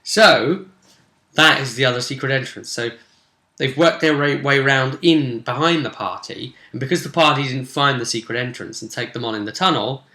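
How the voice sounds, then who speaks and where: Bright-voiced man in an echoey room. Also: medium pitch, moderate pace. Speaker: game master recounting a tabletop session. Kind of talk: casual vlog recap. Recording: roomy echo.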